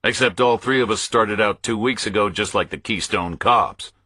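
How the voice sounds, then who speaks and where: A man's voice: on the low side, moderate pace, pushed and bright, slightly rough, no echo. A man voicing a tough, self-critical male investigator in a thriller audiobook, speaking tersely and matter-of-factly.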